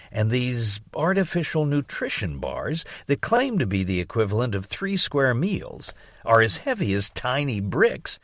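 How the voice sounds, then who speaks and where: A man reading an audiobook as narrator, telling a first-person story in an expressive, theatrical way on a close mic. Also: mid-range voice, no room sound.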